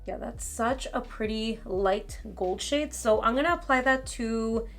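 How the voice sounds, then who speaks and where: Slightly raspy woman chatting through makeup. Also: a little high, unhurried, faint music behind. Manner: chatty, casual commentary while applying makeup. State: raspy voice from talking too much. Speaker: beauty vlogger. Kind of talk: makeup try-on and review. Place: home beauty room, indoors.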